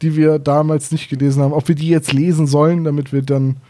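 Higher-voiced mellow man, chatting casually. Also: little pitch movement, fast. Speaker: podcast co-host. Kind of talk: casual discussion, retelling.

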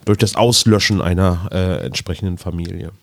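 A man's voice: sits low, moderate pace, vocal fry, dry recording, clean studio sound. A man co-hosting a podcast in casual conversation, often searching for words as he explains. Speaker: podcast co-host. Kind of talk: casual podcast chat about fantasy audio dramas.

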